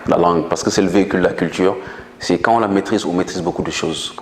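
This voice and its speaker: A man's voice: sits low, steady tone, fast talker, roomy sound, long reverb tail, slight background noise. A man whose first language is Manjak, explaining ideas earnestly in an interview, with frequent hesitations. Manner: earnest lecturing tone with many hesitations. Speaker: guest.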